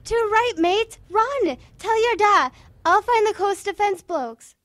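Woman as a high-voiced schoolboy. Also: lively intonation, slow pace, a bit bright, a little hiss or hum, full character voice. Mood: alarmed. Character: excitable, cheeky wartime English schoolboy. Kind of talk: radio drama acting.

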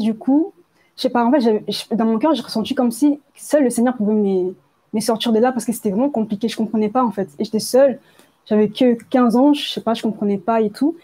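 Young woman, dark mellow voice. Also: mid-range voice, low-quality recording. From Congo, moved to France at age nine. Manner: hesitant, repeats words, colloquial youth phrasing. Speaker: person giving a testimony. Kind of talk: personal testimony, informal spoken storytelling.

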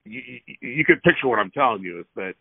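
A man speaks in a gravelly voice over a tinny phone line. He rambles with plenty of hesitation but keeps pressing his complaint. The sound is dry and close, as if from a parked car. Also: medium pitch, lively intonation, fast talker, no echo. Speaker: resident calling about traffic. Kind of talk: phone call.

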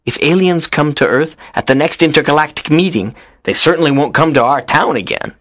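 A man's voice: on the high side, fairly quick, fairly bright tone, a little hiss or hum, lightly acted. A man playing a lonely, earnest teenage schoolboy in a dramatised story, a pleading dreamer. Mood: earnest, determined.

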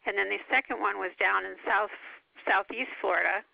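Very creaky woman on a dull phone line. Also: mid-range voice, fairly even intonation, normal speed, dry recording. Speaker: guest speaker, local activist leader. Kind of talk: informal explanation on a conference call.

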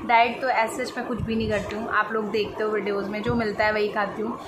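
A woman's voice: medium pitch, fairly quick. A woman in her thirties chatting casually in a daily vlog, filmed on a phone at arm's length in a car and at home, rambling along and coaxing her son through homework. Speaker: vlogger and mother. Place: in a car, then at home indoors. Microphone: phone held at arm's length. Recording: phone vlog with steady background noise.

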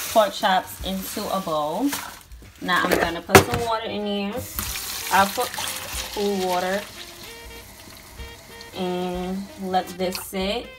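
A woman's voice: medium pitch, takes it slowly, long pauses, heavy background noise. Chatty young woman cooking at home. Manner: chatty, addresses viewers as y'all. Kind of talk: casual cooking vlog narration. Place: home kitchen. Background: music and food sizzling.

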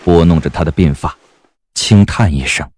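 A man narrating an audio novel as scripted dramatic storytelling, with a measured, expressive literary delivery. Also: very deep voice, no room sound.

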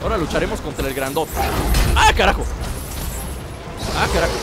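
A young Mexican man with a high, bouncy voice and a stuffy, cold-roughened tone talks through his gameplay in a casual, joking way. His pitch swings widely as he teases the game and yells at the action, with loud game music playing underneath. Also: a little fast. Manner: playful, jokey, bursts into excited shouts. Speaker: gameplay commentator. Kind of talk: casual let's-play commentary.

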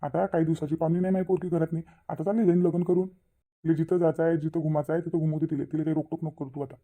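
A man plays a middle-aged village father in a cartoon, bossy yet doting. He speaks very quickly and insistently, scolding in a rural Maharashtrian accent. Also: fairly high pitch, level tone, mellow. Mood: firm. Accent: rural Maharashtrian Marathi. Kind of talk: cartoon comedy dialogue.

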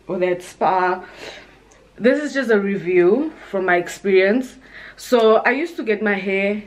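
A woman, chatting informally to camera and thinking aloud at home. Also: mid-range voice. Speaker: vlogger. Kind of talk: casual chat to camera. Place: indoors, bedroom and bathroom at home.

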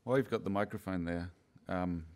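A panel moderator speaks in a very deep, measured voice as he puts questions to the speakers. He is standing on a conference stage with a handheld microphone. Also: a little mellow.

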